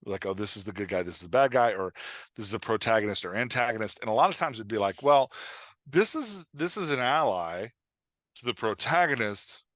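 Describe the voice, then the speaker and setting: A man's voice: lowish voice, expressive, fairly quick, a bit bright, no room sound. A man speaking as a guest writing coach on a podcast, explaining things in an informal, emphatic way with quoted examples. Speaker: guest author and dialogue coach.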